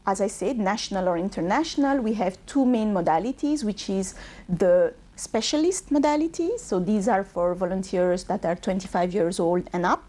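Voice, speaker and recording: Mellow-voiced woman explaining her work. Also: medium pitch, moderate pace. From Bangladesh, raised in Belgium. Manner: fluent, explanatory, with frequent "uh" and "um". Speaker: UN regional manager guest. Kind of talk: TV interview answers.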